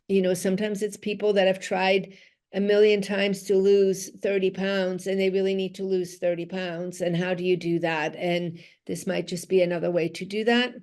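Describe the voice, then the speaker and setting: A woman's voice: fairly low pitch, a little mellow. A middle-aged woman from Switzerland, whose first language is Dutch, speaks as a physical therapist guest in a conversational interview. She talks earnestly, restarts her phrases and says "you know" often. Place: home, in Switzerland.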